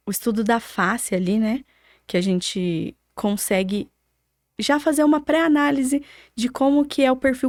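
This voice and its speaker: Brazilian woman explaining, slightly high voice. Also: darkish tone, no echo, studio quality. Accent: Brazilian Portuguese. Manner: didactic, explains with examples. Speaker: podcast guest, architect. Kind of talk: explanatory interview talk.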